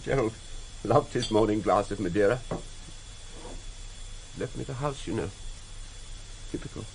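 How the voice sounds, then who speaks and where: An older man playing a courteous, grieving, devoted secretary in a radio drama, speaking haltingly. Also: lowish voice, poor audio. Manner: genteel, halting, repeating himself. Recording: hissy vintage radio drama tape.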